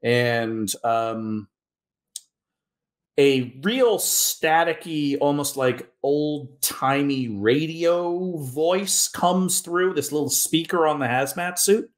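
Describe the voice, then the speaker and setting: A man running a live-play tabletop game as game master, narrating at an easy pace with pauses and fillers. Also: on the high side. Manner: relaxed storyteller with drawn-out pauses and fillers.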